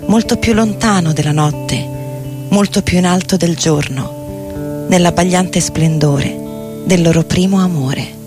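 A woman giving a measured, dramatic literary reading in the style of experimental theatre. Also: on the low side. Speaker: reader, performer. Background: guitar music and loops.

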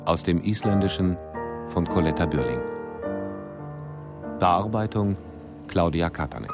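A man's voice: low voice, lively intonation, a little slow, loud music behind the voice. A man playing a formal newsreader and announcer, reading scripted lines in a radio drama.

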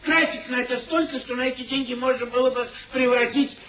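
An elderly gentleman husband in a 1950s radio comedy. His voice is very high and sharp, fussy and exasperated. He declaims indignantly, stretching his exclamations in an old theatrical manner. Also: steady tone, slight background noise. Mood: indignant, scolding. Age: older. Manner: indignant, emphatic, drawn-out exclamations. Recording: 1950s radio drama recording.

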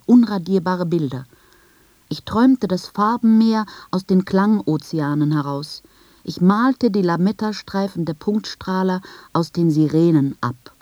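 A woman reads scripted, first-person literary narration in a measured, reflective manner with deliberate phrasing. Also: medium pitch, dark tone, no echo, dull audio. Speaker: narrator of a literary radio piece.

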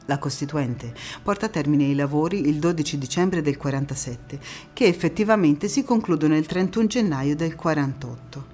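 A woman's voice: sits low, normal speed, few pauses, soft background music, natural voice. An actress performing a theatrical monologue as a firm, young female politician who moves between oratory and intimate recollection. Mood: reflective, subdued. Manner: impassioned oratory alternating with quiet intimate narration. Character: young female Constituent Assembly deputy, firm, indignant, reflective, ironic. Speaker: stage actress.

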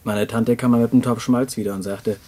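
An actor playing a curious, sceptical listener who asks probing questions in a radio play. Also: male voice, mid-range voice, fairly even intonation, quick pace, dark tone, lightly acted. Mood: interested.

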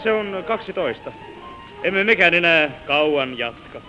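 An actor playing a considerate middle-aged son in an old Finnish radio drama. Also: male voice, a little high, animated, pushed and bright. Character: considerate grown son, gentle middle-aged family man. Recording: dull vintage radio recording with music.

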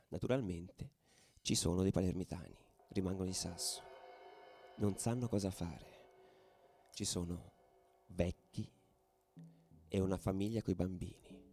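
A man playing a tough football ultra, telling his story as an intense, colloquial radio monologue. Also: low-pitched. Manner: colloquial, intense, swinging from hushed to shouted. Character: tough young male football ultra recounting his exploits.

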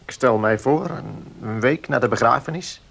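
A careful village notary in a radio drama. His voice is husky and gravelly, and his speech is formal, fussy and a little furtive. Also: mid-range voice, moderate pace, a bit bright. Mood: uneasy, evasive. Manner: hesitant, formal, conspiratorial. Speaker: actor in a radio play. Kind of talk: Dutch radio play acting.

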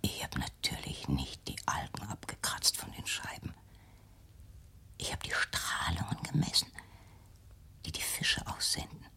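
An actress in a radio play playing an anxious, controlling mother, hushed and insinuating, with a husky, breathy voice. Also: extremely low pitch, sing-song, measured pace, long pauses, whispered, full character voice. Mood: eerie, conspiratorial.

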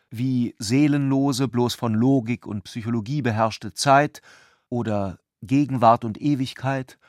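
A man narrating a literary radio play from a script, with a measured, reflective and deliberate delivery. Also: medium pitch, no echo, clean studio sound.

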